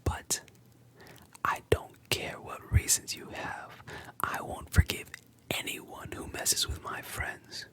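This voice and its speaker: A storyteller voicing a calm adult male pirate captain in a soft, breathy whisper. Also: takes it slowly, close to an everyday voice. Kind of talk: whispered ASMR story retelling.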